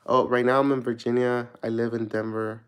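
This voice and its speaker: A man from Mexico doing a casual tarot reading for viewers, rambling and searching for words, relaxed and laughing at himself. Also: mid-range voice, fairly quick, clear, resonant tone. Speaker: tarot reader answering viewer questions.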